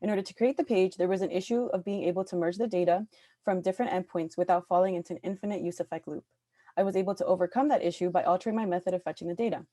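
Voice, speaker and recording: A woman's voice: on the low side, fairly even intonation, warm tone, no room sound, clean studio sound. A young woman from New York, originally born in Peru, reading a prepared project presentation as product manager. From born in Peru, raised in New York. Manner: reads quickly from a prepared script.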